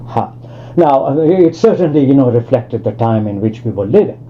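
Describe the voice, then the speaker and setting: Older man lecturing with lively pitch. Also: normal speed. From Tanzania. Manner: discursive, many asides and restarts. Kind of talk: academic lecture over video call. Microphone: video call. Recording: lo-fi online call audio.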